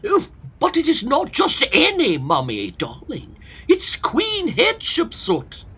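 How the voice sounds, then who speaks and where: A man playing an excitable elderly professor, a wheedling and enthusiastic husband in a farcical audio drama. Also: very high-pitched, slow pace, heavily acted.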